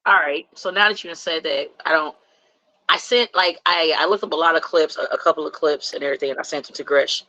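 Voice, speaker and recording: A male podcast host talks in quick, excitable, teasing banter. His voice has a sharp, bright edge. It is recorded dry and close, with no room sound. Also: on the high side, dry recording, low-quality recording. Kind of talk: casual chat.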